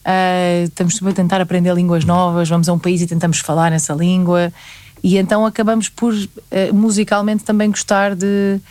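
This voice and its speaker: A woman's voice: lowish voice, fairly quick, no room sound. A young woman with a European Portuguese accent, a singer-songwriter talking animatedly as a guest in an interview. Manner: lively, animated storytelling. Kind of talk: conversational interview.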